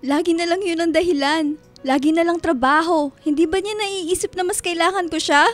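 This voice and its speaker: A woman's voice: very high voice, moderate pace, soft background music. A woman playing a hurt, resentful schoolgirl in a radio drama, tearful and protesting. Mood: hurt, angry. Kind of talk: Filipino radio drama acting.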